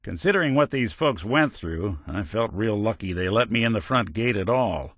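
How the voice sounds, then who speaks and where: A man's voice: on the low side, lively intonation, normal speed, fairly bright tone, no echo, clean studio sound. A male actor playing a world-weary, principled android detective in a video game, with a wry, folksy hardboiled way of talking and old-fashioned slang. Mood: wry storytelling. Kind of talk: video game companion dialogue, noir detective.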